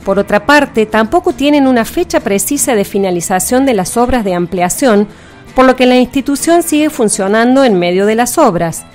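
Female Argentine co-host, slightly high voice, radio news and talk with faint music bed. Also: expressive, moderate pace, darkish tone. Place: radio studio.

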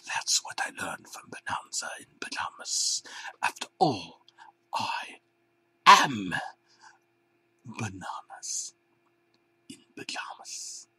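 A man voicing a creepy male banana creature leader in an eerie, slow, breathy whisper. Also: fairly low pitch, sing-song, bright, forceful tone, pitched below the performer's own voice, exaggerated voice, rough recording.

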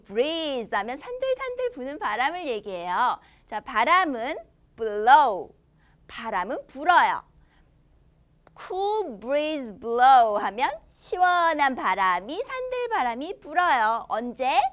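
A young woman teaching a children's language lesson, expressive and enunciating slowly for young learners. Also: sits high. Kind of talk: children's language lesson, switching between Korean and English.

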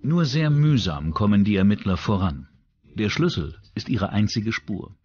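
A man's voice: on the low side, animated, normal speed, darkish tone, breathy edge, lo-fi sound. A man reading scripted true-crime documentary narration as the narrator. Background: background music.

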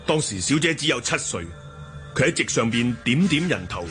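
An actor playing a loyal, blunt martial-arts lieutenant in a Hong Kong Cantonese radio drama, recounting things emphatically. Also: male voice, medium pitch, big pitch swings, normal speed, fairly bright tone, faint music behind. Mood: explaining.

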